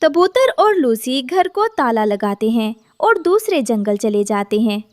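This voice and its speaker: A woman's voice: fairly high pitch, big pitch swings, unhurried, dry recording, clean studio sound. A woman narrating a scripted children's cartoon story.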